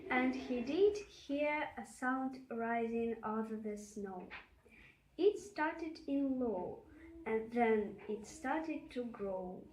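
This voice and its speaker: A woman's voice: fairly high pitch, slow, darkish tone, a little hiss or hum. A woman with a Russian accent reading a storybook aloud. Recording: echoey room.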